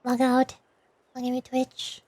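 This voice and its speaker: High voiced girly vtuber woman, chatty, mutters to herself while gaming, dry room sound. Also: monotone, a little slow, a little mellow, slightly rough, no echo. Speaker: VTuber streamer. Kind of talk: livestream chat while gaming, reading game dialogue aloud.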